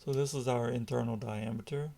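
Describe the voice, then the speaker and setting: A man with a raspy, gravelly voice talks through a screen-recorded software tutorial. His pace is slow and his tone stays flat and dark. He explains each step patiently and reassures the viewer. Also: medium pitch. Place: indoors at a computer, voice-over on screen capture.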